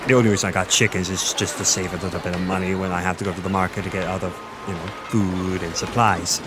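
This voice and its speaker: A man's voice: low voice, level tone, fast, over music, close to an everyday voice. A man with an Irish accent playing a friendly, flirty fantasy village innkeeper in a conversational audio roleplay, chatty and confiding. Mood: surprised, earnest. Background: village ambience with distant chickens.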